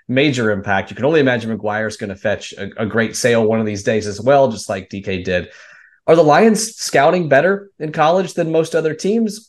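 A man hosting a sports podcast, chatting casually and putting questions to a guest. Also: on the low side. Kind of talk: casual sports interview chat.

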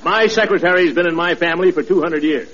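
A man's voice: fairly high pitch, fast, very noisy, lightly acted. A man playing a pompous, blustering middle-aged neighbor in a 1940s radio comedy. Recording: muffled old radio broadcast.

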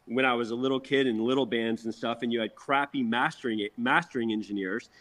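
A man's voice: mid-range voice, steady tone, a little fast, a bit bright. A middle-aged man from a rock band chatting casually in an interview, enthusiastic and slangy, often repeating his words.

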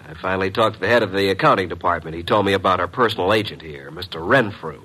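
A cheeky detective's assistant in a vintage radio mystery. His voice sits a little low. He talks in a wry, breezy, wisecracking way. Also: quick pace, sharp tone, husky, exaggerated voice. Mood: matter-of-fact. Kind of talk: old-time radio detective drama acting.

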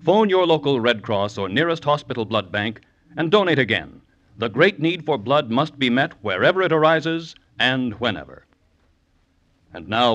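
Male vintage radio announcer, medium pitch, measured and earnest scripted read, dull lo-fi recording. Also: a bit bright.

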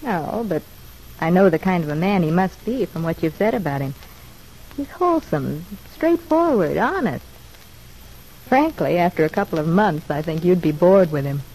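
A woman's voice: on the low side, lively intonation, normal speed, a little mellow, a little hiss or hum, rough recording. Female prisoner: talkative, teasing, slangy needler, classic radio soap style. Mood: sardonic, probing. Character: needling, wisecracking woman prisoner, mocking her cellmate. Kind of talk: old-time radio drama acting.

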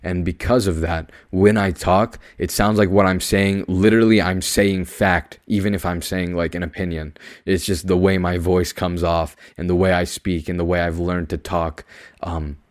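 Young guy rambling on his solo podcast, really low forward voice, kinda pretentious and matter of fact. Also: flat delivery, normal speed. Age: young adult. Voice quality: deep, forward-projecting voice. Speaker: podcast host. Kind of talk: casual solo podcast monologue.

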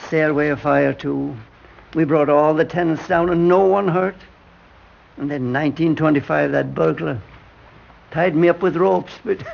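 An actor playing a frail, confused old elevator man, tender and halting, in an old-time radio drama. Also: a little high, vocal fry. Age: older. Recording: dull, hissy old radio recording.

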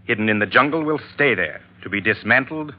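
A man's voice: medium pitch, expressive, a little fast, lightly acted. An actor playing a suave, educated villain's henchman with a dry, superior, precisely worded manner in an old-time radio drama. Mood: smug, composed. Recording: tinny vintage radio recording.

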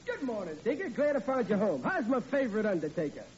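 Flustered family man, high and mellow, stammering bluster, dull old radio sound. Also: very animated, quick pace, soft background music. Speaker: lead character in a radio comedy. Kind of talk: 1940s radio acting. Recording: old lo-fi radio recording with faint hiss.

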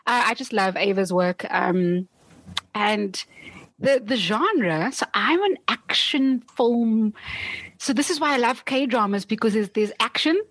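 A woman's voice: fairly high pitch, a little slow, dry recording. A South African woman whose first language is Afrikaans, chatting as an interview guest in a playful, laughing way. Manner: lively and playful, laughs often. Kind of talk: casual interview chat.

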